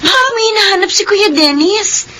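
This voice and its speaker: An actress playing a worried younger sister in a radio drama, asking anxious questions. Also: female voice, extremely high pitch, expressive, normal speed, bright, forceful tone, slight background noise, exaggerated voice. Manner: concerned, questioning.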